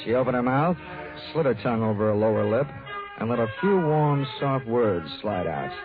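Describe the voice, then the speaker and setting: An actor playing a wisecracking hardboiled private investigator in 1940s radio style, delivering dry, wry, clipped quips. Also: male voice, medium pitch, expressive, normal speed, natural voice. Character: wisecracking hardboiled male private investigator narrating his case. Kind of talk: 1940s radio detective acting. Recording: narrow, tinny 1940s radio recording with music.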